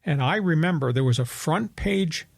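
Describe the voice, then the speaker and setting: A man's voice: on the high side, animated, moderate pace, creaky, darkish tone, breathy edge, no echo, studio quality. A man in his seventies from New York, hosting a sports talk show and telling rambling, opinionated stories. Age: 70s. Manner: storytelling, opinionated, rambling with restarts. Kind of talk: sports talk radio chat.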